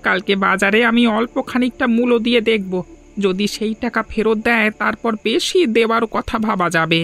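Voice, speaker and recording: A man's voice: high voice, a little fast, creaky, sharp tone, heavily acted. A man voicing an earnest, protesting young farmer, a younger brother. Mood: earnest, hesitant. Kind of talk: moral-story cartoon dubbing. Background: faint music.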